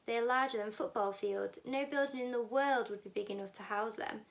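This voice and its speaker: A woman voicing a brisk, excitable male chocolate factory owner who calls out commandingly and boastfully in a storybook reading. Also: a little high, lightly acted. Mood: proud, explaining.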